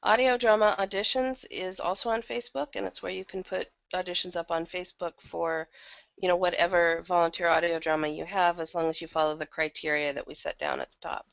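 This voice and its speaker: A woman's voice: on the low side, flat delivery, fairly quick, vocal fry, a bit bright, no room sound, muffled recording. A woman talking casually as a guest in an interview about her work as a producer. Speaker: guest, audio drama producer.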